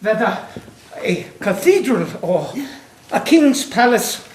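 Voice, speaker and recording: A man's voice: sits high, big pitch swings, slow pace, exaggerated voice. An actor in a stage play playing a devout, wistful imprisoned man in love, speaking in a dreamy, dramatic storytelling manner. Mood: awed, reverent. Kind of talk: theatrical stage-play monologue and dialogue. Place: stage, recorded with room echo. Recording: echoey stage with background noise and clicks.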